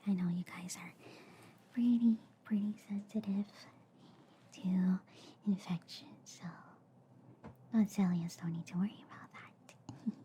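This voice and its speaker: Female performer as gentle alien girl medic, ASMR roleplay, whispered, mellow and unhurried, cheerfully silly, faint background noise. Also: mid-range voice, natural voice. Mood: soothing. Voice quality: breathy, whispery. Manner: slow, hushed, soothing, repeats phrases softly.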